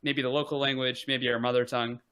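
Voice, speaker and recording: Bright-voiced young man, rambling casually. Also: medium pitch, fast, smooth. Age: young adult. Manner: relaxed, rambling, frequent "like" fillers, self-deprecating. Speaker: podcast guest. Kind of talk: casual conversation.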